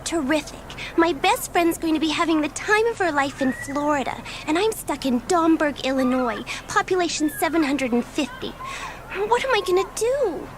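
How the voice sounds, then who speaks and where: Very high, dramatic, sulky young girl played by a woman. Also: very animated, normal speed, noisy background. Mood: sulky disappointment. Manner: theatrical, complaining delivery. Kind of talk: dramatised audiobook acting.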